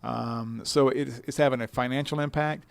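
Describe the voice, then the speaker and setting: Gravelly man explaining in a radio interview. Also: mid-range voice, fairly even intonation, moderate pace, dry recording. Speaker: business incubator director being interviewed.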